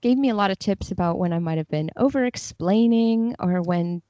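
Woman podcast guest thinking out loud, soft dark tone, bit dull lo-fi audio. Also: mid-range voice, normal speed, dry recording. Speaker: podcast guest, literary translator. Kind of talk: conversational interview answers.

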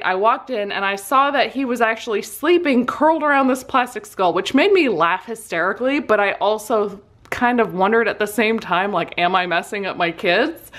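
A middle-aged woman casually telling a personal story in an amused, self-deprecating, animated way, as a vlogger would. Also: a little high. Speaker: vlogger and soap maker.

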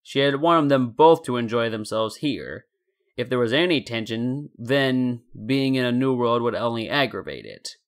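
A man's voice: medium pitch, lively intonation, moderate pace. A man narrating fan fiction as a scripted story.

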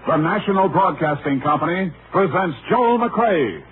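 A man's voice: sits high, animated, normal speed, slight background noise. A man announcing a radio program in a formal 1950s broadcast style. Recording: tinny old radio broadcast recording.

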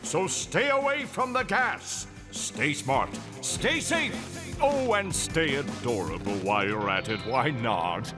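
A man's voice: mid-range voice, very animated, slow, over music. A man playing a bombastic, cheerful, muscle-bound cartoon superhero who lectures children in a theatrical, goofy, emphatic way. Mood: earnest, goofy. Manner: theatrical, emphatic, goofy public-safety preaching. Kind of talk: children's cartoon safety message.

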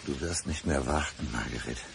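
A man's voice: rumbling low, normal speed, creaky, background noise. An actor playing a brooding, deceitful, despairing man in a radio crime drama, speaking with intense deliberation. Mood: menacing, resigned. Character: brooding, deceitful man feigning blindness, despairing, country-dwelling.

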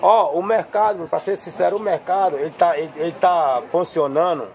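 A man's voice: fairly high pitch, steady tone, moderate pace, vocal fry. Chatty man filming a busy street market. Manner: chatty, keeps addressing viewers as "pessoal". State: has a cold. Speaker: market vlogger. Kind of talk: casual walk-around vlog chat. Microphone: handheld phone. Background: busy market crowd.

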